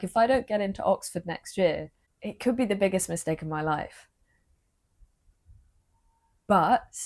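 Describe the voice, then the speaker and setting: A young woman, vlogging earnestly into her phone with a low-energy voice, confiding and emotional. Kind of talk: personal vlog talking to camera.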